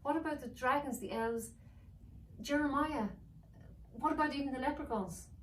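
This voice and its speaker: An earnest young storybook girl voiced by a woman, pitched high and pleading. She speaks with urgency and loyalty. The recording is echoey and slightly far from the mic. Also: normal speed, natural voice. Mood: pleading, urgent. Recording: roomy, distant mic with faint noise.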